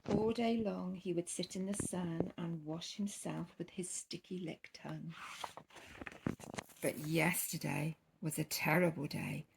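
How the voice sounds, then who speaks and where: Slow, creaky-voiced woman reading a bedtime story. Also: fairly low pitch, steady tone, noisy background. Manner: theatrical, drawn-out emphasis on key words. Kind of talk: expressive children's storybook reading.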